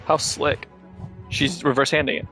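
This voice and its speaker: Gravelly male streamer explaining haltingly. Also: a little high, little pitch movement, pushed and bright. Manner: halting, self-correcting explanations. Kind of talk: casual gameplay commentary and chat. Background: video game music.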